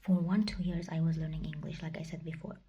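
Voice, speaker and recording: Soft whispering young woman. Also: deep voice, steady tone, quick pace, lo-fi sound. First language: Kazakh. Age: young adult. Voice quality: breathy whisper. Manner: whispered, hesitant, searching for words. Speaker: vlogger. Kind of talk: casual personal vlog.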